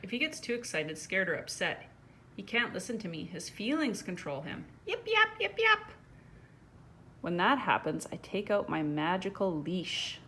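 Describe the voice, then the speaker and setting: A woman reading a picture book aloud to children in a casual, conversational way, pausing to put questions to the listener. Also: fairly low pitch, expressive, fairly bright tone, slight background noise. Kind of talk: casual read-aloud with chatty asides to young viewers.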